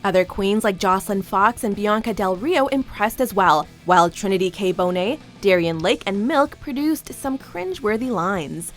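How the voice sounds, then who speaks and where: A woman's voice: medium pitch, lively intonation, moderate pace, hardly any pauses, music in the background. A woman reading a scripted voice-over for a countdown video, with an upbeat, punchy delivery.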